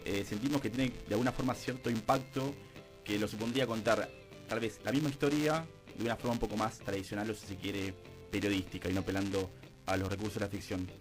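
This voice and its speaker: A man with an Argentine accent, a guest in a radio interview, explaining things in a measured conversational way. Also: fairly low pitch, fairly even intonation, soft background music, rough recording. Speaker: radio drama producer and guest.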